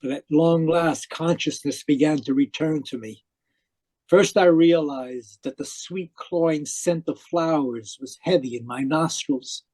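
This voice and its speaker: An actor playing an obsessive, domineering uncle in an old-style radio mystery, with theatrical, emphatic delivery. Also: male voice, fairly high pitch, measured pace, darkish tone. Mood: grave, haunted narration. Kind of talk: 1940s radio mystery acting, narrating and dialogue. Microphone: video call. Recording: muffled-edged online video call.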